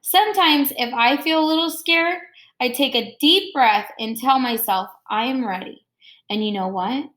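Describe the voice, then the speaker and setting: A woman voicing a gentle, encouraging father in a storybook, with a reassuring way of talking. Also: high-pitched, moderate pace, echoey room.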